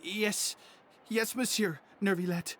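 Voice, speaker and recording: A woman's voice: medium pitch, fairly even intonation, slow, slight background noise, natural voice. A woman voicing a nervous, cowed underling in a video game, hesitant, stammering and apologetic. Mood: nervous, guilty.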